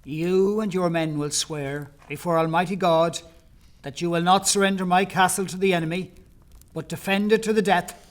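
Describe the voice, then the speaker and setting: A man's voice: high-pitched, steady tone, normal speed, lightly acted. A man playing a commanding, suspicious Gaelic chieftain in an audio play, with an imperious, emphatic delivery. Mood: stern command. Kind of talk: audio play, declaimed dialogue.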